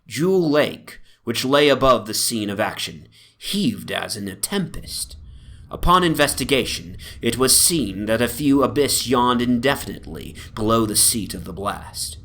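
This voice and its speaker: A man giving a formal, measured, theatrical dramatic reading of a gothic horror story as its narrator. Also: mid-range voice, very animated, a bit bright. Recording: background noise from an ambient soundscape. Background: atmospheric soundscape.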